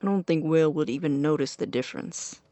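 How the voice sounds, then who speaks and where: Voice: medium pitch, mellow, dry recording, close to an everyday voice. An actor playing an awkward, hesitant young man about to leave for college, in a naturalistic audio drama. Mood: sheepish, dry. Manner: hesitant, halting, self-conscious.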